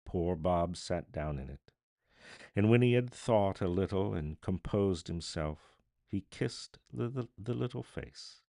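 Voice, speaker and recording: A male storyteller with a low, creaky voice narrates dramatically. He is deliberate and theatrical, pausing between phrases, with highly animated intonation. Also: no echo, clean studio sound. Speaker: narrator, live reader.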